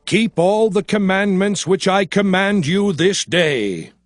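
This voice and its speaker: An actor playing a lawgiver who gives deliberate, declaiming orders to the tribes. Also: male voice, high voice, expressive, no echo.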